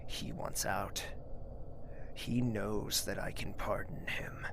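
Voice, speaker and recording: An actor playing a grieving, vengeful young royal in a fantasy audio drama, moving between hushed menace and raging. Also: male voice, lowish voice, fairly even intonation, takes it slowly, vocal fry. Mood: cold, controlled threat. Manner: theatrical, swings between hushed menace and screaming. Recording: roomy echo with faint noise.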